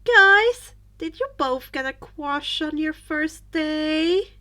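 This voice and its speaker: A woman's voice: high voice, lively intonation, takes it slowly, bright, forceful tone, pitched above the performer's own voice, heavily acted. A woman voicing a teasing, friendly young character in a playful, casual way in a fan fiction audiobook.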